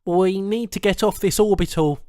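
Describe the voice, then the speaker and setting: A man's voice: high voice, moderate pace, a little mellow, dry recording, studio quality, voice pushed up, lightly acted. A man voicing a terse, wry escaped captive in a science fiction audiobook. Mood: urgent. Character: bald escaped male captive, ragged, terse, determined. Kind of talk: audiobook character dialogue.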